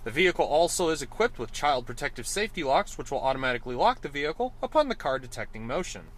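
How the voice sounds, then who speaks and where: A man's voice: medium pitch, big pitch swings, quick pace. A man giving an enthusiastic, detailed car review, now and then correcting himself mid-sentence, recorded in and around a car. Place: inside and around a car, outdoors.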